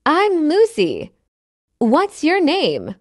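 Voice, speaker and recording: A woman's voice: sits high, huge pitch swings, drawn-out words, a little mellow, no room sound, studio quality. A woman reading a simple English dialogue for learners, carefully enunciated and repeating each line. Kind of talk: slow scripted dialogue reading.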